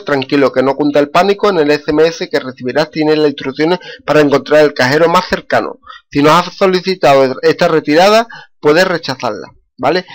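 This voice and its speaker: Man, slightly high voice, unhurried tutorial narration, lots of filler sounds. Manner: slow, step-by-step, many "eh" fillers. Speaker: YouTuber. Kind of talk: screen-recorded tutorial.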